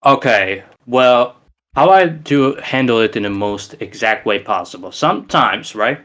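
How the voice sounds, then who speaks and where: A man's voice: medium pitch, expressive, a little slow, a bit bright. A man with a Romanian accent, a musician guest on a casual podcast, talking in an emphatic, rambling way with many restarts.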